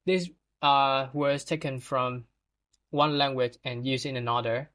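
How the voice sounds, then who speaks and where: A man's voice: mid-range voice, steady tone, a little slow, fairly bright tone, slight background noise. Guy reading vocab definitions for a podcast lesson, trips on a word now and then. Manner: reads definitions with small stumbles. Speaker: podcast co-host.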